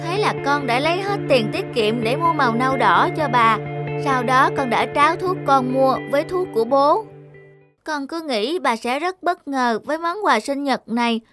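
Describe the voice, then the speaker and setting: A woman's voice: high voice, lively intonation, moderate pace, loud music behind the voice. A woman voicing an eager, affectionate little boy in a cartoon, childlike and earnest. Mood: remorseful, earnest. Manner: childlike, pleading. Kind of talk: cartoon voice acting.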